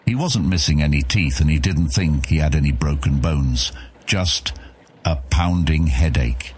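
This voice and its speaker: Deep-voiced male audiobook narrator. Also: unhurried, a little mellow, breathy edge.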